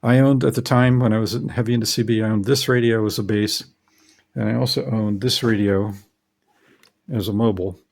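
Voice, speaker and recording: A man's voice: fairly low pitch, steady tone, fairly quick, creaky, darkish tone. A middle-aged man who hosts a ham radio hobby vlog, explaining things in a casual, matter-of-fact, conversational way. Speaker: host, amateur radio hobbyist.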